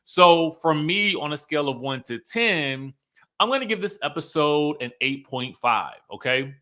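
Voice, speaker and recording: A man with a slightly high, very bright, forward voice hosts a casual livestream review. He talks straight to his viewers in an emphatic, conversational way, often checking that they follow him. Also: expressive, unhurried. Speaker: host of an online TV review show.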